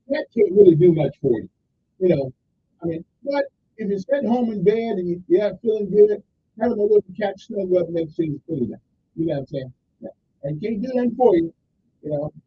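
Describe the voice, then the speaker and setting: A man's voice: sits high, lo-fi sound, slight background noise. A man hosting a radio talk show, chatting in a folksy, rambling way with lots of asides. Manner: folksy, chatty, rambling asides with repeated "okay" and "I'm just saying". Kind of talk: casual radio talk, listing the day's observances.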